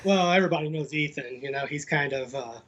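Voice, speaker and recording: A man's voice: a little high, quick pace, very noisy. A middle-aged man chatting casually as a guest, joking dryly. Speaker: guest, web designer and father. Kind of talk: casual chat.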